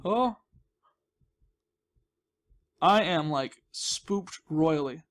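A man's voice: a little high, lively intonation, very slow. A young man giving casual, playful gaming commentary, rambling and reacting to himself as he plays. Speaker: gaming host. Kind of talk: casual Let's Play commentary.

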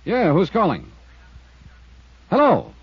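An actor playing a blunt, clipped insurance investigator in a 1950s radio drama, on an old lo-fi recording. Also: male voice, high voice, wildly swooping pitch, slow pace, slightly rough, no echo, heavily acted. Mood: terse. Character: hard-boiled male insurance investigator, dry and probing. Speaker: lead detective character. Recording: dull lo-fi vintage radio transcription.

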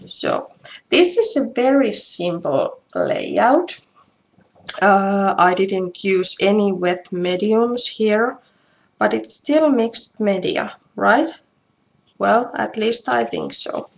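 A Finnish-accented woman talks through a craft demo in a creaky, medium-pitched voice. Her English is halting and self-deprecating, and the live stream has a faint noise and clicks behind it. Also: expressive. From Finland. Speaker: craft demonstrator. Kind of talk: casual live craft tutorial. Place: indoors at home.

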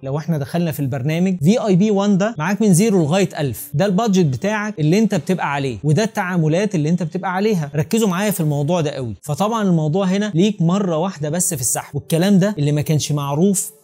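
A man's voice: high voice, very fast, hardly any pauses, mellow. A man in his thirties with an Egyptian Arabic accent, explaining emphatically and speaking straight to the viewer as a channel host. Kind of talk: explanatory talk to camera. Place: small home room with curtains and desk, indoors. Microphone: clip-on lapel mic.

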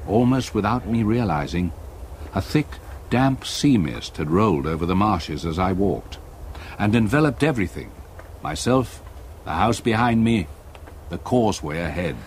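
An older man with an English accent playing a retired solicitor who narrates a haunting memory in a measured, literary radio-play manner. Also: on the low side, lively intonation, darkish tone, a little hiss or hum, close to an everyday voice. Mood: uneasy, haunted.

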